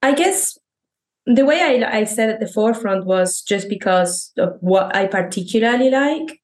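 Argentine woman guesting on a podcast, spanish is her first language, thinks out loud, light laughs. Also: mid-range voice, expressive, normal speed. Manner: thoughtful, restarts often, laughs lightly. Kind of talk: conversational interview.

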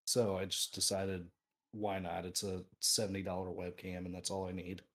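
A man with a low voice that people call fit for radio. He chats easily as a podcast guest and pokes fun at himself in a relaxed way. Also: moderate pace, slightly rough. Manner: easygoing, self-deprecating. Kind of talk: casual podcast chat.

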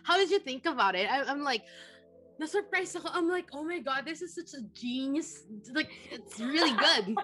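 A woman's voice: high voice, animated, normal speed, pushed and bright, soft background music. A young woman from the Philippines hosting a podcast interview, chatty and full of fillers and restarts. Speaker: podcast host.

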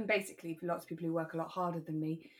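A British woman with a slightly low voice co-hosts a relaxed podcast. She retells and comments in an expressive, conversational manner, as in an easy chat. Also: fast talker. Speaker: podcast co-host. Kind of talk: relaxed radio drama recap chat.

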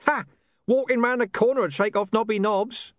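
Picture a cocky, sly street dog who can talk, yapping in a high, brash voice as a man puts him on for an audiobook. The pitch sits far above a normal man's, and every line is pushed out loud and sharp. The reading is dry and close. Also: moderate pace, no room sound, natural voice.

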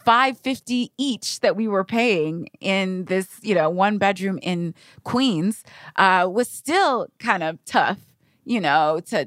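A woman's voice: medium pitch, fairly bright tone, no echo, studio quality. A woman co-hosting a casual conversational podcast, speaking candidly in colloquial, self-deprecating language. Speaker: podcast co-host.

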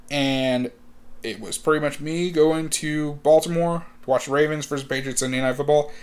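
A young man talking casually as a sports fan, rambling, repeating himself and using lots of fillers, and getting loud and excited at the big moments. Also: on the high side, clean tone. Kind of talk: casual talk to camera.

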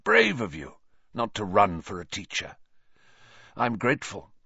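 A man voicing a gaunt adult male fugitive wizard, hoarse and croaky, with slow, quiet menace in an audiobook story. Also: lowish voice, wildly swooping pitch, no echo, highs cut off, exaggerated voice. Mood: taunting.

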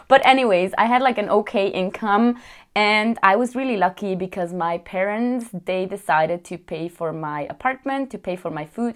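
A young woman from Switzerland, chatting casually in a vlog, laughing often and filling pauses with "like" and "um". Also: medium pitch. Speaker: vlogger. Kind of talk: casual chat. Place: apartment.

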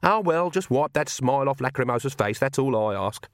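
A man's voice: mid-range voice, animated, brisk, no room sound, clean studio sound, lightly acted. A man voicing a stubborn young witch woman in an audiobook, sardonic and indignant. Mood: wry.

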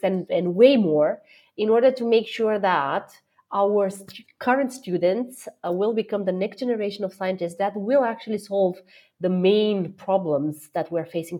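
A woman's voice: mid-range voice, dark tone. A middle-aged woman from Romania, a guest executive answering interview questions with enthusiasm, many fillers and restarts.

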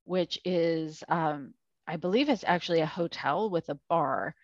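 A woman's voice: low-pitched, unhurried, no room sound, dull audio. A woman co-hosting a podcast in casual chat, rambling with lots of fillers. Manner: rambling, filler-heavy, self-deprecating. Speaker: podcast co-host.

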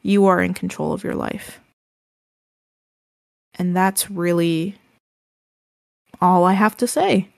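A woman co-hosting a casual podcast, speaking gently and haltingly with many ums and restarts, her voice described as soothing. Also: fairly low pitch, fairly even intonation, gravelly, dry recording, clean studio sound. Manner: gentle, reassuring advice. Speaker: podcast co-host.

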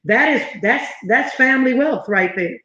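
A woman's voice: high-pitched, animated, measured pace, sharp tone. A woman in her fifties from Southern California, a guest telling her story in an earnest, emphatic way. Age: 50s. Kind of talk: interview conversation. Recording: roomy, slightly muffled sound.

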